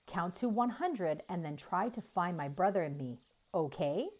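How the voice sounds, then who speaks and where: A woman's voice: fairly low pitch, animated, a little slow, darkish tone, dull audio. A woman voicing a mischievous, bossy young girl in a children's storybook. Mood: whiny, scolding. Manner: whispering, whining, scolding by turns.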